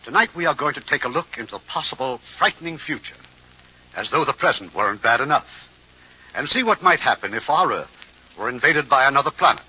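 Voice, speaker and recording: A man introduces a vintage radio play as producer and host. His voice is bright, husky and lively, on a dull old broadcast recording. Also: medium pitch, fairly quick.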